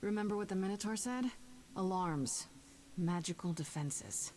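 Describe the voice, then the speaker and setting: A woman's voice: mid-range voice, moderate pace, a little mellow, faint music behind, lightly acted. A woman playing an earnest, uncertain young heroine with dry wit in a video game. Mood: wary.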